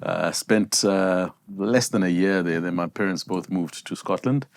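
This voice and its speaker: A man born in Zambia, a podcast guest telling his life story in a relaxed, reflective way with many fillers and restarts. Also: low voice, measured pace, creaky, no room sound. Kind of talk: conversational interview storytelling.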